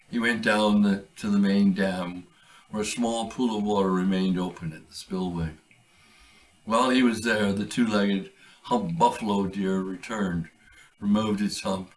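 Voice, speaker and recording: A man reads his own short story aloud in a measured, deliberate way. His voice sits on the low side. He sounds a little distant from the mic. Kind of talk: storybook reading.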